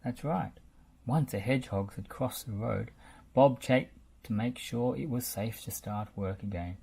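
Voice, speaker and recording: Guy reading a kids book out loud, slow and husky, really expressive. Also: mid-range voice. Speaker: storybook reader. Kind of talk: expressive read-aloud narration for children.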